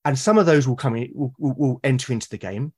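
A man with a British accent, a podcast guest talking casually and at length, often pausing to rephrase his thoughts. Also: mid-range voice, darkish tone, husky. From Britain. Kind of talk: casual conversation about solo roleplaying.

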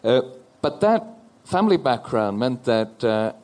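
A man in his forties from Norway, a panel guest telling a personal story in a thoughtful, halting way on stage before an audience. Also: mid-range voice, big pitch swings, a little mellow. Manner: thoughtful, halting with repeated restarts.

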